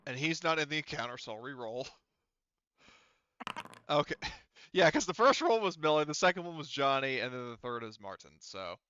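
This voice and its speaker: A man running a tabletop roleplaying game as game master, casual and sweary, halting as he mutters through the rules. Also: a little high, big pitch swings, sharp tone, clean tone, dry recording, muffled recording. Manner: halting, muttering to himself while checking rules.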